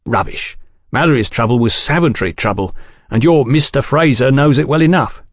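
A man's voice: mid-range voice, normal speed, dry recording, studio quality, pitched above the performer's own voice, close to an everyday voice. A man voicing a portly, flashy thug who is suspicious, blunt and challenging. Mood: scornful.

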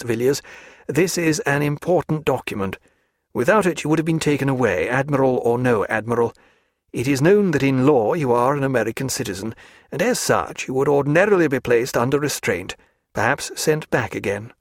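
A male narrator voicing a reserved, earnest naturalist who speaks in a measured, formal way. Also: medium pitch, animated, dry recording, clean studio sound.

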